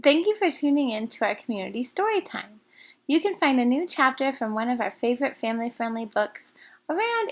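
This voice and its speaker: A woman's voice: on the high side, highs cut off. A woman reading scripted narration from a children's book as host of a story time.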